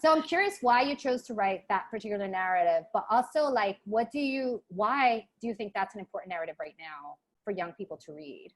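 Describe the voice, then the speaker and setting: A woman's voice: medium pitch, expressive, brisk, few pauses. A woman moderating a book club panel in casual conversation, feeling her way through rambling questions full of fillers.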